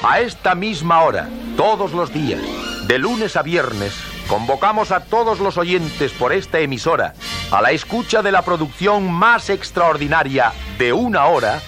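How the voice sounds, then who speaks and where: Casting: male vintage radio announcer, Castilian accent, higher-pitched, grandiose and solemn, music behind. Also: sing-song, a little slow. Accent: Castilian Spanish. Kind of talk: vintage Spanish radio drama announcement, scripted. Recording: old radio archive broadcast with music. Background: loud music.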